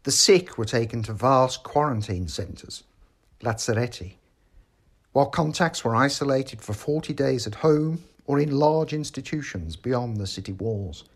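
Guy reading an article out loud, formal and measured, bit of husk in the voice. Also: medium pitch, expressive. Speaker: article reader. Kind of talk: scripted narration, read essay.

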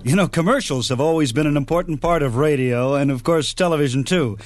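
A man's voice: a little high, fairly quick, music in the background. A man presenting as host of a radio show about old broadcasts, in a scripted style.

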